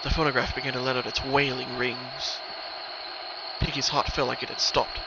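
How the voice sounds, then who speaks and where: A man with a mid-pitched voice narrates a horror story in a dramatic reading. His delivery is scripted narration. Clear noise runs behind his voice. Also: level tone, normal speed, lo-fi sound. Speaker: narrator of horror fan fiction. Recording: noisy recording, rumbling ambient background.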